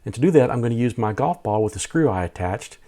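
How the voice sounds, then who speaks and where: A man's voice: medium pitch, expressive, fast, mellow. A man narrating a casual hobby vlog, explaining things step by step in a plain-spoken way. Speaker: amateur radio video host.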